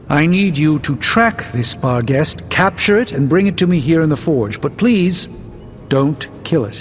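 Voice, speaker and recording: An actor playing a learned, composed middle-aged sorcerer in a video game, speaking with precise, formal, measured diction. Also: male voice, on the high side, phone-quality sound. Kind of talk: video game character dialogue. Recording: band-limited game audio, long reverb, background music.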